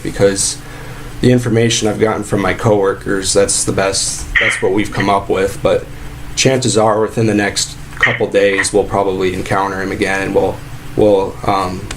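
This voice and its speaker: A man playing an aggrieved fast-food worker making a halting, rambling, repetitive complaint in a casual way. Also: fairly low pitch, close to an everyday voice. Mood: earnest, indignant. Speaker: prank caller posing as a sandwich shop employee. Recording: clearly noisy phone prank recording.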